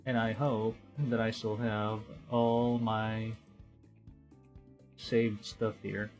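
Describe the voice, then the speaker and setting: Young guy streaming a game, slow, kinda mumbly, corrects himself a lot, soft guitar music in the back. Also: lowish voice, flat delivery. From Philippines. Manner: rambling, self-correcting, quietly talking to himself. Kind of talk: casual gameplay commentary.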